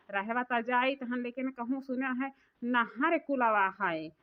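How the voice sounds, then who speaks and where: Woman doing a fretful old village mother-in-law, high voice, Awadhi accent, plaintive grumbling, cartoon comedy, dull audio. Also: lightly acted. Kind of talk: comedy cartoon monologue in rural dialect.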